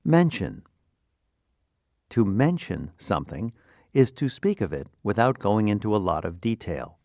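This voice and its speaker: Slow, expressive male lesson narrator. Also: fairly low pitch, no echo, clean studio sound. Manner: deliberate, instructional enunciation. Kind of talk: scripted educational narration.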